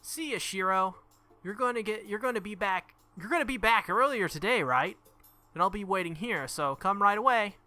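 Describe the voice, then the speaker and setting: A man's voice: high voice, expressive, moderate pace, bright, forceful tone, dry recording, faint music behind, pitched above the performer's own voice, exaggerated voice. A man voicing a cheeky, mean and confident little girl from a visual novel, taunting in a matter-of-fact way. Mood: bossy. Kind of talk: visual novel character reading.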